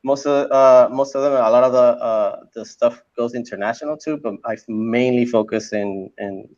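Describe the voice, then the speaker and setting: A man in his forties, a native Spanish speaker from Puerto Rico, talks through his career with a lot of hesitations and restarts. His voice sits at a middle pitch. The sound is dull and lo-fi. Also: moderate pace, darkish tone. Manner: hesitant, many fillers and restarts. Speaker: guest. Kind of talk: casual interview talk.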